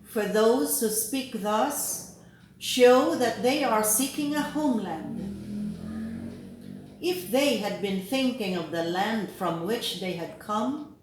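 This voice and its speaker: A woman teaching scripture readers, reading a liturgical text slowly and deliberately, pausing at each phrase. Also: a little high, animated, roomy sound, a little hiss or hum, poor audio. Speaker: lector trainer. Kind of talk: slow, measured scripture reading and pronunciation drill.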